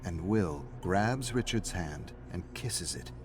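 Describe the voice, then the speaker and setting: A man's voice: sits low, lively intonation, takes it slowly. A man reading a story aloud as a scripted, dramatic narrator. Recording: music playing behind the voice. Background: faint background music.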